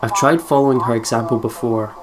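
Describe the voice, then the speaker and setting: A woman's voice: a bass voice, steady tone, brisk, background noise. Chatty Scottish woman playing a game. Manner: chatty, swears freely, laughs often. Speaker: let's play host. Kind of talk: casual gameplay commentary and reading aloud.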